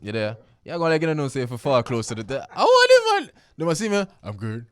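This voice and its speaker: A man's voice: medium pitch, huge pitch swings, fast, no room sound. A man with a Jamaican accent hosting a casual radio talk show, who keeps repeating himself and asking "you understand me?".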